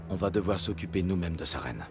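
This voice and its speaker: Blunt game soldier hero, low voice, quick and direct, music behind. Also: male voice, expressive. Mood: resolute. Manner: terse, direct. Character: blunt, determined human male soldier hero. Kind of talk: video game cutscene acting in French.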